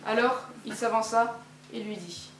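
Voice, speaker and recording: A teenage girl narrating a fairy tale on stage in a measured storytelling manner. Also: a little high, heavy background noise. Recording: echoey hall from far off.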